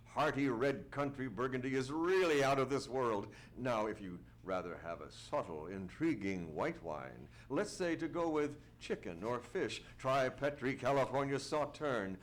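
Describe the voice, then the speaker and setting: A man's voice: mid-range voice, lightly acted. This is a man playing a genial 1940s-style radio announcer. His mid-range voice rises and falls a great deal. He delivers sponsor copy in a chatty, persuasive patter. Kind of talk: 1940s radio commercial acting. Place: live on a theatre stage.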